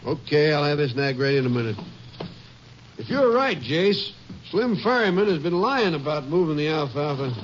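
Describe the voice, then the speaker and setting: Higher-voiced country sheriff, noisy radio. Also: male voice, big pitch swings, moderate pace. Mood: doubtful, agreeable. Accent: American. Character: plain-spoken, cautious country sheriff, loyal male sidekick. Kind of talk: 1950s American radio drama acting. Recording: muffled, noisy old radio broadcast.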